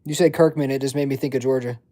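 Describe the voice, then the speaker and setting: A man's voice: medium pitch, fairly even intonation, fast talker, mellow. A man in his twenties chatting casually on a livestream, reading chat and rambling in loose slang. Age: 20s. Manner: rambling, filler-heavy slang. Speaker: livestream host.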